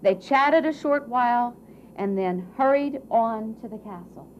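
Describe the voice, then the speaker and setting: A woman narrating a scripted fairy tale for a puppet show in a theatrical way, with dramatic pauses and emphasis. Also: a little high. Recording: muffled, faint background noise.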